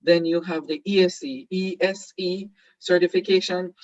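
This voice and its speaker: A man's voice: sits high, little pitch movement, moderate pace, no echo, dull audio. Hesitant Jamaican man hosting a seminar. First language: Jamaican Patois. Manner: hesitant, many fillers and restarts. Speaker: host. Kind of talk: online seminar conversation.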